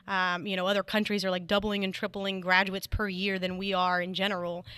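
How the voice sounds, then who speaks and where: Bright, crisp-voiced woman on a dry mic. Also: medium pitch, little pitch movement, fairly quick, dry recording. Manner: articulate, with frequent "um" and "mm-hmm" backchannels. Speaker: guest, engineering society leader. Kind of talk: casual interview answers.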